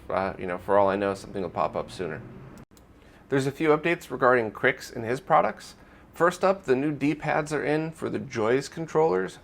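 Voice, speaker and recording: Male host, medium pitch, semi-scripted news commentary, explains things in a conversational manner. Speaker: host of a retro gaming news roundup.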